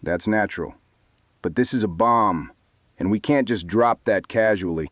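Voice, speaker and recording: A man's voice: lowish voice, moderate pace, no room sound, natural voice. Man acting clipped, commanding father, dry sound. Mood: grave, measured. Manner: clipped, matter-of-fact. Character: terse, commanding father leading an escape. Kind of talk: fiction podcast drama acting.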